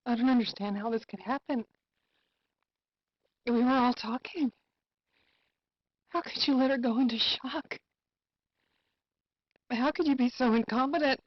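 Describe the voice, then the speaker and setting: A woman's voice: fairly high pitch, measured pace, long pauses, no echo. A middle-aged woman acting as an anxious, nagging mother in a live-action game scene. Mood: angry, distraught. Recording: dull lo-fi game video audio.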